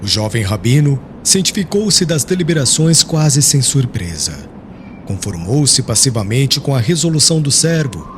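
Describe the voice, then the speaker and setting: A man's voice: mid-range voice, big pitch swings, moderate pace. A man narrating an audiobook in a solemn, formal, literary storytelling style. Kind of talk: scripted dramatic literary narration. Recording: faint background noise.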